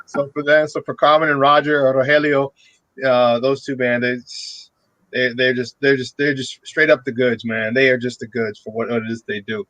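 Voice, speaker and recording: A man's voice: mid-range voice, little pitch movement, normal speed, fairly bright tone, dry recording, lo-fi sound. A man co-hosting a casual sports talk show, laid-back, saying man a lot and laughing at his own jokes. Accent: Chicago. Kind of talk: casual radio show banter.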